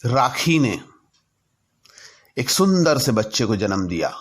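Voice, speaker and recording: Male narrator for anecdotes: medium pitch, unhurried and deliberate, phrase by phrase. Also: big pitch swings, husky. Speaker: narrator telling his own anecdotes. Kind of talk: storytelling narration.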